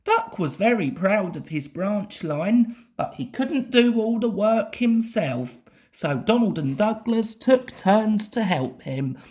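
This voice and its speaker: A woman's voice: medium pitch, expressive, takes it slowly, darkish tone. A woman reading a children's story aloud as scripted narration.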